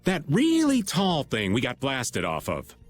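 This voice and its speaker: A man's voice: medium pitch, wildly swooping pitch, a little slow. An actor playing a laid-back, middle-aged male archer who drawls and cracks jokes. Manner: drawling, wisecracking. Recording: game music underneath.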